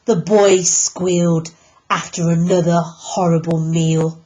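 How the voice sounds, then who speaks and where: A woman teacher reading a picture book aloud, slow and deliberate with emphatic pauses. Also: fairly low pitch, flat delivery, mellow, lo-fi sound, slight background noise. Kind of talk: storybook read-aloud.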